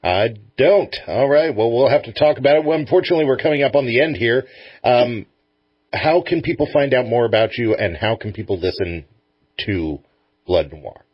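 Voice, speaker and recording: A man's voice: mid-range voice, normal speed, husky, no room sound. A man hosting a radio talk show, chatting casually in an informal, self-deprecating way. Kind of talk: casual interview chat. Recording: muffled, dull lo-fi audio.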